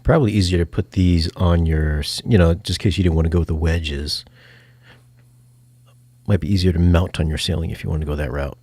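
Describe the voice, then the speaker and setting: A man hosting a casual review chat, playful and teasing, with a husky voice. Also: sits low, fairly even intonation, fairly quick, warm tone, no echo. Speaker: video review host.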